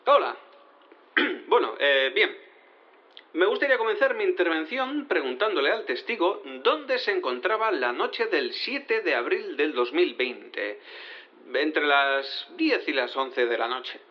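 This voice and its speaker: Lively, high-pitched hesitant defense lawyer. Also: male voice, a little slow, highs cut off. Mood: formal, hesitant. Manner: hesitant, formal, with throat-clearing and restarts. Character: hesitant male defense lawyer cat questioning a witness. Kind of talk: audio fiction courtroom acting.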